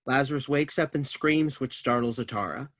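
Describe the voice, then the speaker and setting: Male narrator, medium pitch, dry room-free sound, reading stage directions. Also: normal speed, a bit bright, dry recording. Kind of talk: scripted narration.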